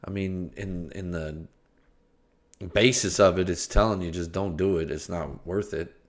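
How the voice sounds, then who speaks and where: A man talks over a stream in a slow, unhurried drawl, pitched on the low side. He jokes, hesitates and restarts his sentences, and sometimes breaks into scatted filler syllables. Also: fairly even intonation. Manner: joking, hesitant, trails off and restarts, scats nonsense syllables. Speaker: streamer reacting to a video. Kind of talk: rambling casual commentary.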